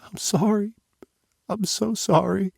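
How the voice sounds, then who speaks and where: A man voicing a tearful, apologetic young adult daughter, speaking in a soft, shaky, pleading way. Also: mid-range voice, moderate pace, creaky, no echo, clean studio sound, voice pushed up.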